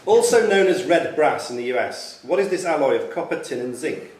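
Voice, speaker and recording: A man's voice: on the high side, animated, fairly quick, a little mellow. A man reading quiz questions aloud as quizmaster at a live event. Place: function room at a club quiz night. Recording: roomy, distant mic, faint noise, clicks.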